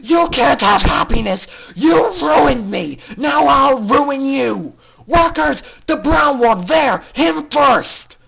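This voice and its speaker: Villainous female pegasus pony, voiced by a story reader: bitter, hateful, forceful screaming, pitched up. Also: expressive, slow pace, gravelly, heavily acted, rough recording. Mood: screaming fury.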